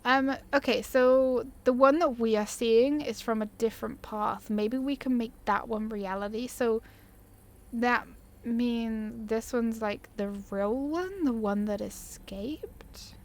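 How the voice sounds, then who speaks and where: A woman's voice: on the high side, takes it slowly. A woman giving casual, enthusiastic gameplay commentary, chatting informally and easily sidetracked. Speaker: gaming video creator. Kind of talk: casual chatty gameplay commentary, reading game dialogue options aloud.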